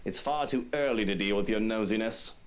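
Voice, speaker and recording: An actor voicing an irritable, wealthy young mansion master in a visual novel, sarcastic and dismissive. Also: male voice, fairly low pitch, fairly quick, natural voice. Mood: irritated.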